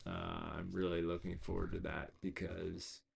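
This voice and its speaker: A man hosting a casual livestream, rambling with many fillers and restarts, his voice gone hoarse. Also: low voice, flat delivery, moderate pace. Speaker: host of an online reading series.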